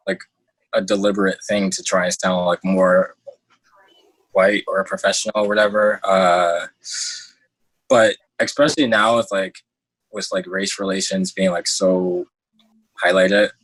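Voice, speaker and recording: A young man chats casually as a guest, feeling his way through his thoughts in a halting, hesitant manner. His voice is fairly low and husky, recorded dry and free of echo. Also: fairly bright tone. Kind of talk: casual chat. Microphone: headphones on an internet call.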